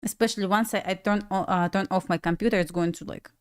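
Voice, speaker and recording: A young woman from Belarus speaks English with a Russian accent, her voice sitting at an ordinary middle pitch. She talks casually and a little scattered, musing to herself and chuckling as she watches her trades. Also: fairly even intonation, quick pace, smooth. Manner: chatty, laughs often, talks to herself. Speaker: day trader streaming live. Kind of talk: casual live-stream chat.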